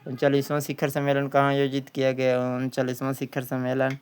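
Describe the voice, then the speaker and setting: Bihari man teaching, very clear voice. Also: mid-range voice, completely flat delivery, brisk. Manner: repeats questions, didactic. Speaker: exam coaching teacher. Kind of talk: quiz lesson, reading questions aloud and answering them.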